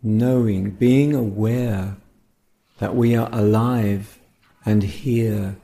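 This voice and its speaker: A man guiding a meditation as a teacher, speaking gently and contemplatively in short phrases separated by pauses. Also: lowish voice, steady tone, gravelly. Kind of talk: guided meditation talk.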